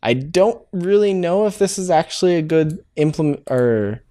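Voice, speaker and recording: A man's voice: a little high, lively intonation, normal speed, warm tone. A man thinking aloud over his code. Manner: thinks aloud, hesitant, self-correcting with many "uh"s. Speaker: programming tutorial host. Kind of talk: casual live-coding walkthrough.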